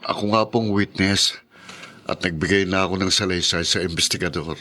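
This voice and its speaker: Wounded suitor, lowish pitch, bright forceful tone, earnest, emotive, Filipino radio drama. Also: male voice, fairly even intonation, moderate pace. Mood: matter-of-fact, defensive. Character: wounded man, pleading and grateful, soon to marry.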